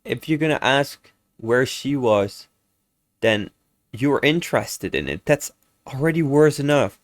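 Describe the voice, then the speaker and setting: A nineteen-year-old man talks slowly and unhurriedly, as if thinking out loud while he reacts. He sounds exasperated and stumbles, restarting his sentences mid-thought. The sound is dry and close, with no room echo. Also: medium pitch, lively intonation. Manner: meandering, exasperated, trips over his words and corrects himself. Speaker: reaction video host. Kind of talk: casual reaction commentary.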